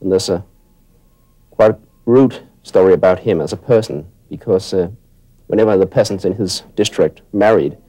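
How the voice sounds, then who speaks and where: Low, mellow man telling anecdotes. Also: moderate pace, poor audio.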